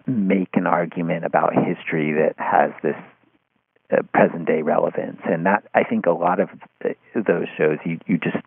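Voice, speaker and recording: A man speaking as an interview guest in analytical conversation, halting and circling back over his phrases. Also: deep voice, creaky, slightly rough, dry recording. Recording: narrow phone-like line.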